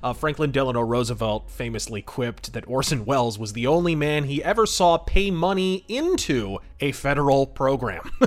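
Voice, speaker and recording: A man's voice: medium pitch, fairly bright tone. A man hosting a podcast, giving informal history commentary in an articulate, wry and opinionated way. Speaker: podcast host.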